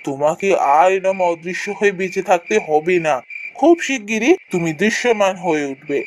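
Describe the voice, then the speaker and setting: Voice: medium pitch, moderate pace, slight background noise, natural voice. A performer voicing a kind, earnest young village man in a fairy-tale cartoon, speaking expressively. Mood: reassuring. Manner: expressive, earnest storybook delivery. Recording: music behind the voice.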